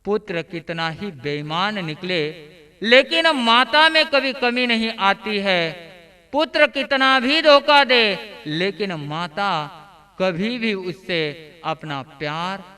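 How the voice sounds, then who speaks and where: A man's voice: high-pitched, expressive, takes it slowly, bright, forceful tone. A man delivering a devotional sermon as a preacher, in an emphatic, oratorical manner with phrases that swell and fall away. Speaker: religious preacher. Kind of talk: devotional sermon with illustrative stories.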